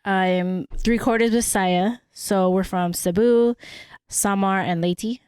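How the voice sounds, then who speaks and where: Smooth-voiced woman podcast co-host. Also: mid-range voice, steady tone, slow pace, a little mellow, no room sound, studio quality. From San Francisco, US. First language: Cebuano. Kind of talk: casual podcast chat.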